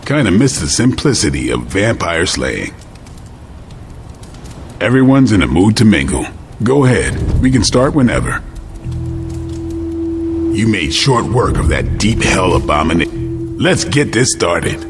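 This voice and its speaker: Gruff male vampire slayer for a game. Deep, laconic, dry, with varied intonation. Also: very animated, measured pace, pauses often, exaggerated voice. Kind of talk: video game cutscene acting. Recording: music behind the dialogue.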